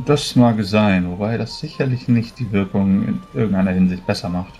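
A man with a low voice roleplays at a tabletop game. He explains in a formal, measured manner, with music playing faintly behind him. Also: mellow. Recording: voice over background music.

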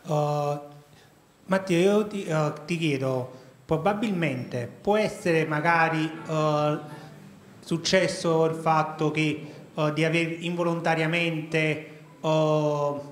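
Slow, hesitant male reporter, slightly high voice, roomy hall. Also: fairly even intonation. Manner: hesitant, full of filler sounds. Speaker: sports journalist. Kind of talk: press conference question.

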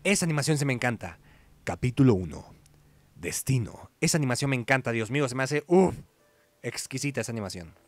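A man's voice: mid-range voice, animated, brisk, no echo. A man giving informal let's play commentary, reading game dialogue aloud and sometimes correcting himself. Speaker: gaming YouTuber. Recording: game music behind the voice. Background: video game music.

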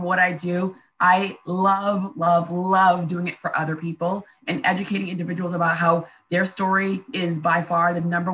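Bright fast-talking American woman. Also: fairly low pitch, low-quality recording. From USA, first generation. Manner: fast, passionate. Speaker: marketing speaker. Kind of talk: panel introduction on video call. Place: indoors, plain room.

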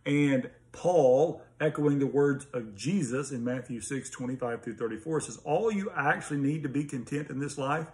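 A man in his thirties with an American accent, a pastor giving an informal, earnest sermon recap to camera with hesitant pauses. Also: mid-range voice. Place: small home study with bookshelves, indoors. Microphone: phone or laptop at arm's length.

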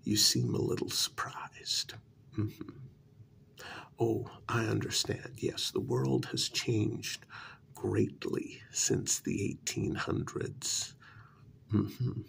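A man playing a flustered, apologetic talent agent in an ASMR role-play, speaking softly in a breathy, gravelly half-whisper with hesitant phrasing. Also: lowish voice, animated, a little hiss or hum, heavily acted. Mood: persuasive, gentle. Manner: slow, hesitant, halting. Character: apologetic, easily flustered male talent agent for supernatural creatures.

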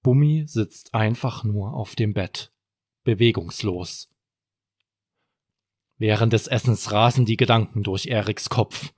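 A man's voice: on the low side, measured pace, pauses often, no room sound, clean studio sound. A man narrating a scripted bedtime story for children as a storyteller.

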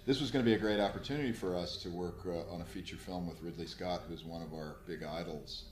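A middle-aged man, an effects artist, explaining his past work in a halting, measured interview style. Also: sits low, darkish tone. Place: indoor room, seated interview. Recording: echoey room, faint noise.

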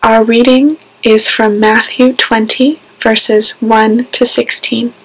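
A woman in her thirties reads scripture from a script. Her voice is fairly high and stays even, and she takes her time with careful, deliberate phrasing. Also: breathy edge, low-quality recording. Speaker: scripture reader. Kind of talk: scripted scripture reading and introduction. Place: small home room, indoors.

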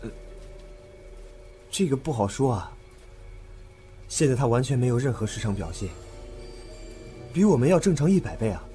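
A man acting in a Chinese audio drama as an earnest, thoughtful young man, expressive and musing. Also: mid-range voice, moderate pace, long pauses, faint music behind, close to an everyday voice. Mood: doubtful.

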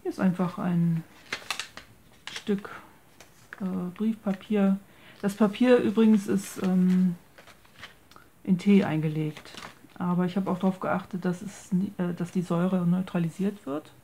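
Slow, creaky-voiced woman chatting casually. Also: fairly low pitch, steady tone, warm tone, a little hiss or hum. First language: German. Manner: hesitant, searching for words, mixing English craft terms into German. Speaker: craft video maker presenting a handmade journal. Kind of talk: casual show-and-tell narration. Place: indoors at a tabletop.

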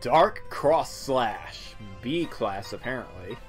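A man's voice: medium pitch, lively intonation, slow pace. A man giving casual, offhand video game commentary with wry asides. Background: game music.

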